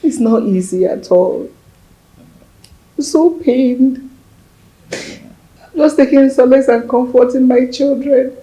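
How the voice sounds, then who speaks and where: A woman playing a tearful, grieving widow who speaks softly and pleadingly. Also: high-pitched, takes it slowly, long pauses, slightly rough, exaggerated voice, poor audio. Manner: tearful, soft-spoken, pleading. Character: grieving young widow, later comforting a man. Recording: faint background noise.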